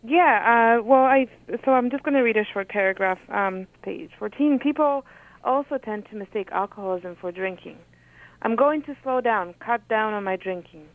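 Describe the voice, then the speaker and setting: A woman whose first language is Polish, an author reading from her book and talking thoughtfully with many pauses. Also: fairly high pitch, no echo. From Poland. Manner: thoughtful, hesitant with frequent ums. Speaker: author, guest. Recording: phone-like radio audio.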